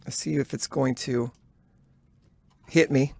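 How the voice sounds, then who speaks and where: A man records a trading journal voice-over in a dry, close space. He has a mid-pitched voice and thinks aloud as he follows the action. His delivery is halting and instructive, as if coaching himself and the viewer at once. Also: steady tone, vocal fry, a little mellow, slightly rough, no room sound. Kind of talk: live trade commentary, thinking aloud.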